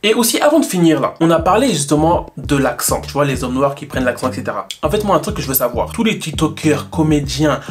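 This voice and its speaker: A young man talking straight to his viewers in a casual, animated way, asking questions and pausing to think aloud. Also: a little high, a little hiss or hum. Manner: animated, rhetorical, addresses viewers directly. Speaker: YouTuber. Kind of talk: casual talk to camera.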